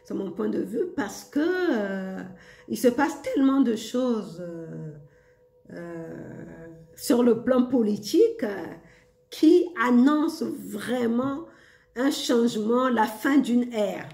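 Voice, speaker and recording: A woman's voice: on the high side, lively intonation, slow, vocal fry, dark tone, reverberant. A woman vlogger talking casually and reflectively to her viewers, hesitant with many fillers and frequent laughs. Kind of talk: casual spiritual monologue.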